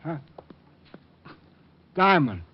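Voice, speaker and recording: Tough boxer, high voice jumping around, slow blustery talk, muffled old radio. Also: male voice, very animated, slightly rough, heavily acted. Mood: wary. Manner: blustering, curt, scowling. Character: surly, defensive male boxer, a tough guy. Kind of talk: 1940s radio drama acting. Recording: dull vintage radio recording.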